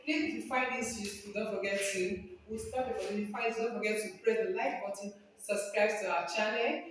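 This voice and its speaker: A Nigerian woman teaching a French lesson to learners, repeating each phrase slowly for them to copy. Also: mid-range voice, fairly even intonation. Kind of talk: classroom-style language lesson. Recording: very echoey room, speaker far from the mic.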